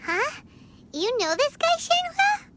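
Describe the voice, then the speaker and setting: A woman voicing a tiny, chirpy, brash fairy companion girl in a video game, squeaky and childlike. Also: big pitch swings, takes it slowly, very noisy, full character voice. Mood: puzzled, brash. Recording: loud music under the voice. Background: game music.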